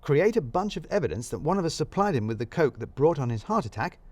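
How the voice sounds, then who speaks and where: A man's voice: a little high, big pitch swings, quick pace, darkish tone, no echo. A man playing a wordy, obsessive male office worker turned detective in a story, earnest and dryly witty. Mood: scheming. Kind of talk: storybook dialogue.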